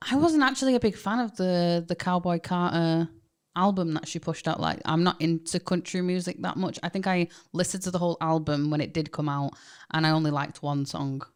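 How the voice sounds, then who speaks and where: A woman's voice: lowish voice, normal speed, smooth. A young adult woman from England with a Manchester accent, chatting casually and animatedly as a podcast co-host. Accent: Manchester English. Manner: animated, chatty, laughs often. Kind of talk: casual chat.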